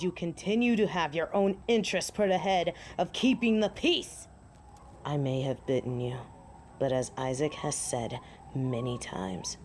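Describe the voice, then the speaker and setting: Defiant she-wolf rival female actor, sharp-tongued and theatrical, slow measured delivery, slightly noisy recording. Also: very deep voice. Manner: sardonic, stern, theatrical. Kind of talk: dramatic audio roleplay acting. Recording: faint background noise.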